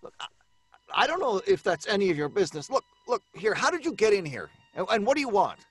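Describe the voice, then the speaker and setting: An exasperated radio-drama writer with a highish, very animated voice. He blusters and stammers, frazzled and irritable, recorded dry with music underneath. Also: fast talker, slightly rough, no echo, exaggerated voice. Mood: startled, indignant. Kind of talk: old time radio drama acting.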